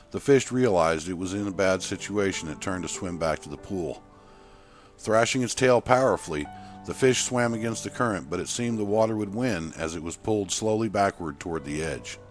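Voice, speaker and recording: Male narrator, low register, scripted short fiction read, soft music bed. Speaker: author and narrator. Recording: music behind the voice.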